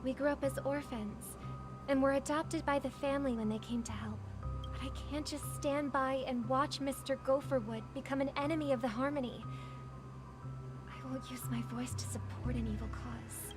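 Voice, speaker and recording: High-voiced earnest young songstress acted by a woman. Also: normal speed, lightly acted. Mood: earnest resolve. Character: earnest, idealistic young female singer of firm principle. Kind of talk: scripted video game voice acting. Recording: loud music under the voice.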